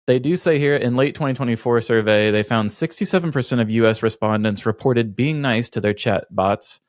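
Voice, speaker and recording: A man's voice: medium pitch, brisk, dry recording, studio quality. A man co-hosting a podcast, explaining things casually and joking along in conversation. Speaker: podcast co-host.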